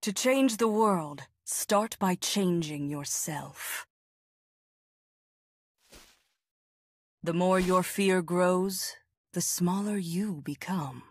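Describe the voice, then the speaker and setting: Casting: video game lightning sorceress, proud and self-assured, lowish husky voice, very unhurried. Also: female voice. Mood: stern, measured. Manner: measured, self-assured, aphoristic. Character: proud, confident lightning sorceress, grown woman. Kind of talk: video game character voice lines.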